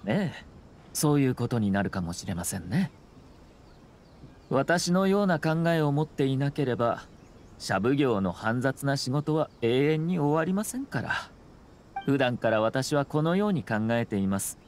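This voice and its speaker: A man's voice: medium pitch, big pitch swings, darkish tone, a little hiss or hum. Composed, courteous young nobleman. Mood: calm, reflective. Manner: measured, formal, polite. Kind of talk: anime game voice acting.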